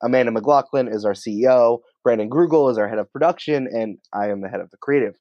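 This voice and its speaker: A man's voice: mid-range voice, fast, no echo. A man talking casually and at length as a podcast interview guest. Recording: dry, slightly dull sound.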